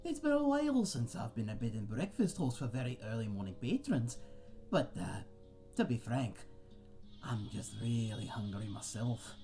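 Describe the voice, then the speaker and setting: A man's voice: medium pitch, very animated, moderate pace, music in the background. A man playing a friendly, chatty old tavern keeper in a fantasy audio roleplay, with a folksy, rambling, self-deprecating way of talking to the listener. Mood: hospitable, joking. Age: older. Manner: rambling, folksy, hesitant, chuckling aside to a guest.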